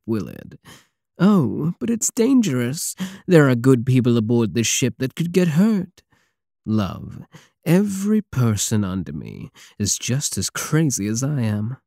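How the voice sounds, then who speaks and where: A man's voice: medium pitch, dark tone, no room sound, studio quality. A man playing a swaggering, obsessive space pirate king in an intimate ASMR roleplay, flirtatious and menacing with a teasing, theatrical drawl. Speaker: audio roleplay voice actor.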